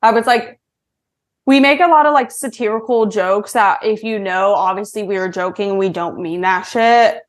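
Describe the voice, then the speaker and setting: A woman's voice: mid-range voice, moderate pace. A woman hosting a podcast in casual comedic banter, slangy and sweary, often poking fun at herself. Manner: slangy, sweary, self-mocking. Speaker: podcast host.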